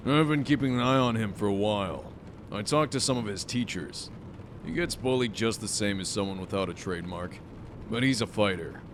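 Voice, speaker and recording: Male actor as formal school official, fairly low pitch, serious, reserved, audio drama, faint noise behind. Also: brisk, natural voice. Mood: somber.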